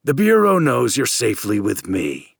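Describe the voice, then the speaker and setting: A man's voice: medium pitch, wildly swooping pitch, moderate pace, gravelly, dry recording, studio quality, pitched above the performer's own voice, full character voice. A man voicing an evasive, controlling captor in an audiobook, placating and patronizing. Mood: soothing. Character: evasive, controlling male captor, coolly placating, secretly menacing.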